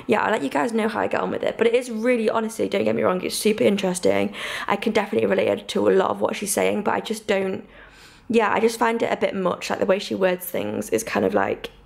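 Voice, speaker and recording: A woman's voice: mid-range voice, fast talker, gravelly. A woman, chatting casually and informally to camera as a vlogger, with gushing enthusiasm. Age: 20s. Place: small flat, bedroom and kitchen, indoors.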